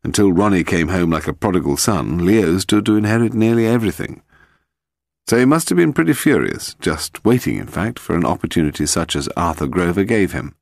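Audiobook casting: male narrator as calm, wry gentleman detective, cheerful, explanatory, expressive pitch, gravelly edge. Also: fairly quick, no echo, clean studio sound. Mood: explaining.

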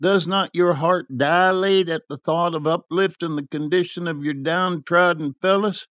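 Man doing drunk idealist young guy, way higher voice, overwrought, audiobook drama. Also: fairly even intonation, normal speed, fairly bright tone, no room sound, studio quality. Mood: fervent. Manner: flippant to melodramatic. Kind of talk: audiobook character acting.

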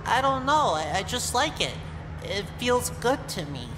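A woman plays a nerdy young man in a visual novel game, creepy and fawning. The delivery is awkward and overeager. The sound has a long reverb and clear background noise. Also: a little high, sing-song, unhurried. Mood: nervous, eager. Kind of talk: voiced visual novel dialogue.

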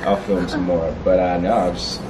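A young man chatting casually into a handheld camera at a resort, relaxed and joking with many fillers. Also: sits low, normal speed, noisy background. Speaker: vlogger. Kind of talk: casual vlog chat. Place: gym, hotel room and sunny resort pool outdoors. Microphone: handheld camera at arm's length. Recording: music playing behind.

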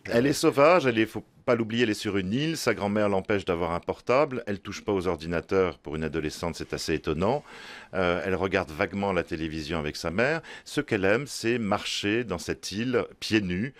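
A man speaks spontaneously as an interviewed novelist, halting and repeating words as he thinks. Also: deep voice, no room sound.

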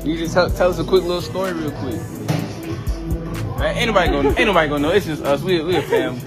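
A young man interviewing people in a shopping mall, joking and teasing as he asks quick questions. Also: a little high. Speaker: street interviewer. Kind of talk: casual street interview. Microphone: phone held out to guests. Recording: mall crowd and loud music behind.

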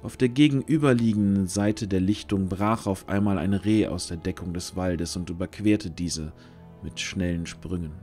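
A man narrating a scripted fantasy audio drama, using dramatic pauses. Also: low voice, darkish tone. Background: music.

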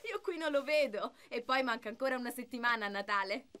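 A woman's voice: high-pitched, expressive, moderate pace, sharp tone. A woman voicing a matter-of-fact, easygoing young child's mother in a children's cartoon. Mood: amused doubt. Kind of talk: animated children's cartoon dub. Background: music.

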